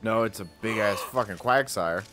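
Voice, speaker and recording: A man's voice: fairly low pitch, normal speed. A young man co-streaming a video game with casual, profane, wisecracking banter. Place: indoor streaming setup. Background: game music.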